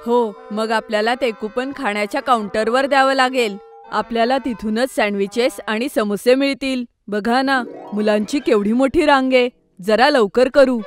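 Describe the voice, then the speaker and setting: Young big-brother schoolboy female performer, eager, inquisitive, highish voice, kids' cartoon. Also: normal speed, soft background music, natural voice. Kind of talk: children's cartoon voice acting.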